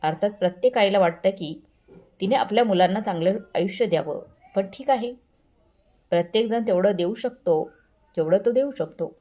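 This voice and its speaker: A woman's voice: medium pitch, normal speed, dark tone, close to an everyday voice. A woman voicing a boastful, condescending wealthy mother-in-law with showy, patronizing politeness, in a family story. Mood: fake pity.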